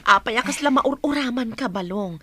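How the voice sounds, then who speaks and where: A woman's voice: a little high, expressive, a little fast, fairly bright tone, slightly rough, no room sound. A woman with a Filipino accent playing a concerned godmother and confidante in a radio drama. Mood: fussing, concerned. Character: concerned, familiar godmother and confidante to mother and boy.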